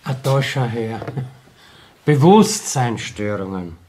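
Stern Viennese police interrogator. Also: male voice, medium pitch, very animated, slow, darkish tone, a little hiss or hum. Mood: sarcastic. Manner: pressing, sardonic, colloquial. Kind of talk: radio crime docudrama acting.

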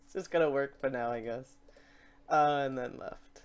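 A man with a clean, smooth voice talks through a software tutorial as its host. He is informal and unscripted, working things out aloud as he goes. He is quick to poke fun at his own work. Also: medium pitch. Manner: informal, thinking aloud, self-deprecating. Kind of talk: casual, improvised software tutorial.

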